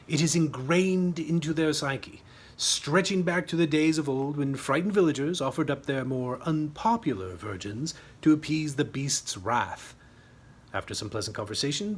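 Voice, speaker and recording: A man's voice: medium pitch, husky. A man reading his own short story aloud as a scripted narration, with a wry, tongue-in-cheek manner.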